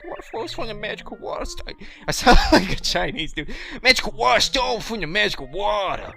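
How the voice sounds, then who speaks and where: A man voicing a fragile, melodramatic talking flower girl with a high, wailing, theatrical delivery, over game music. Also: big pitch swings, brisk, creaky, bright, forceful tone, exaggerated voice. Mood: lamenting. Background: video game music.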